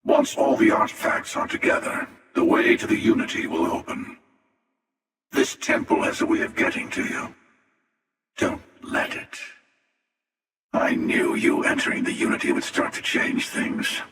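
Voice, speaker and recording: A man voicing a jaded, menacing, world-weary older space hunter for a video game, with a gravelly, sardonic and deliberate delivery. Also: lowish voice, wildly swooping pitch, fairly bright tone, heavily acted. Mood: measured, sardonic. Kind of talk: video game character voice lines. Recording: cavernous echo and long reverb, distant.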